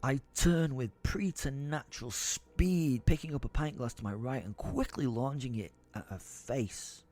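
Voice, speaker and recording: A man's voice: mid-range voice, unhurried, darkish tone, lightly acted. A man playing a brooding, exasperated werewolf who tells his own story as theatrical first-person audio drama narration. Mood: seething. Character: brooding, foul-mouthed male werewolf, seething with helpless rage.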